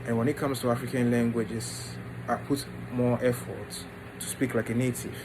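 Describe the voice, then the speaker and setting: A man talks informally about himself in a medium-pitched voice. He moves in short, halting phrases with pauses. The recording has clearly audible background noise, like a steady room hum. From Ghana and the United States. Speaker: vlogger introducing his book. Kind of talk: informal personal storytelling to camera.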